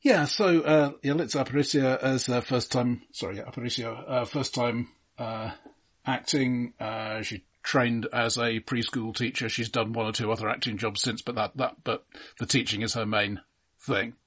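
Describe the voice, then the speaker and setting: Husky guy co-hosting a film chat, measured and analytical, bit hesitant getting his words out. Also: medium pitch, steady tone, fairly bright tone. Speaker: podcast co-host. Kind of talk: casual film discussion.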